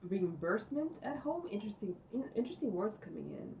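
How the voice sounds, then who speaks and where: A woman vlogging from home, thinking out loud in a rambling way, full of fillers and cursing. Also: medium pitch, sing-song, fairly quick, gravelly. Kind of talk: rambling think-aloud monologue. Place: indoors at home. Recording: distant mic with faint noise.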